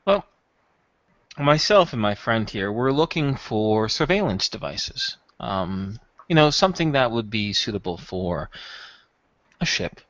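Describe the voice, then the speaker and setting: A man voicing a polite, measured spacefaring customer who negotiates for contraband in a tabletop game. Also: fairly low pitch, a bit bright, dry recording, lo-fi sound. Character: Xavier, polite smooth-talking spacefaring customer seeking contraband.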